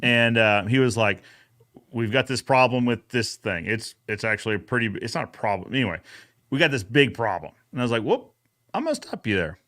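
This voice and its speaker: A man's voice: medium pitch, lively intonation, fairly quick, fairly bright tone, no room sound, studio quality. A man hosting a casual recap chat, talking conversationally and addressing the viewers directly. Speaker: YouTube host.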